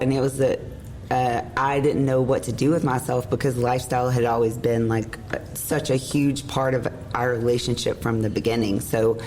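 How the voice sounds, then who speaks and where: A middle-aged woman with a hoarse, worn voice, hosting a casual podcast and rambling openly. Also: a bass voice, a little mellow, slight background noise. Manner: rambling, emotional, laughs often. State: hoarse, lost voice. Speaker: podcast host. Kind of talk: casual chat. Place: room at an event hotel. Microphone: shared mic.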